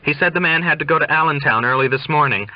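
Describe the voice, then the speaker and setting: A man's voice: a little high, fast talker, no echo, highs cut off, pitched above the performer's own voice, close to an everyday voice. A man reading an audiobook as a tipsy young mother who is brittly cheerful, defensive and insistently reassuring. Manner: insistent, over-bright reassurance.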